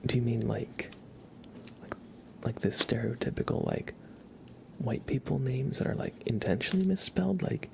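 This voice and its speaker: A man whispering softly and breathily close to the mic as he hosts an ASMR livestream, chatting casually and gently repeating words. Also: mid-range voice, expressive, vocal fry, a little hiss or hum. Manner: soft, repeats words and names slowly.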